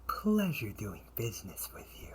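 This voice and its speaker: A man playing a smooth, scheming older brother who brokers information for a crime syndicate, teasing and theatrical in an audio roleplay. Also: fairly low pitch, huge pitch swings, a little mellow, slight background noise. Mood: satisfied, smug. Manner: silky, teasing, unhurried, theatrical.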